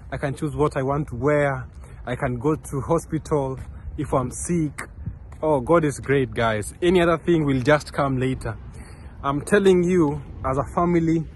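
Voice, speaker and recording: Young man from Kenya, earnest vlog talk, mid-high voice, walking outdoors by a road, faint traffic behind. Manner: earnest, rambling, with many restarts and fillers. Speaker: vlogger. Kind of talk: casual vlog talk to camera. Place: outdoors on a park path beside a road. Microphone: phone camera held close.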